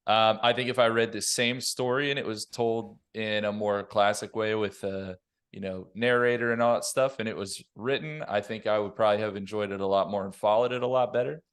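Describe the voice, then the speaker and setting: Smooth, lowish-voiced man on a panel. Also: steady tone, brisk. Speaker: podcast panelist. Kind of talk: casual discussion, giving opinions.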